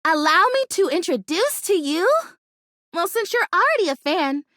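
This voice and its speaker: A bubbly, earnest young female houseplant from a video game. Her voice sits extremely high and swings with animated melody, stretching out excited words. The sound is dry and close, and she chatters on with self-encouraging sincerity. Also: normal speed, no room sound, exaggerated voice. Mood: enthusiastic, persuasive. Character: perky, earnest young female artificial houseplant longing to be real. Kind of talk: video game character voice acting.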